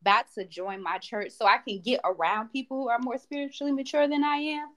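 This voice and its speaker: Sassy woman, mid twenties, a touch high, quick comebacks. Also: steady tone, fairly bright tone. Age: 20s. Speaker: guest. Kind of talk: casual chat.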